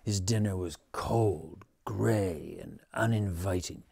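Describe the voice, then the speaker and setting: A man in his sixties reading a children's story aloud expressively, in a measured, dramatic manner. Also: low-pitched, gravelly, dark tone, slightly rough, no echo. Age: 60s. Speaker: storybook reader. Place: living room at home, on a sofa.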